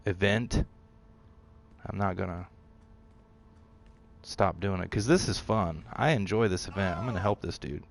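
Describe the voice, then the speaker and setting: A man's voice: sits low, a little slow, vocal fry, long pauses, slight background noise. A man giving rambling, self-deprecating commentary while streaming a video game. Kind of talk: casual gameplay commentary.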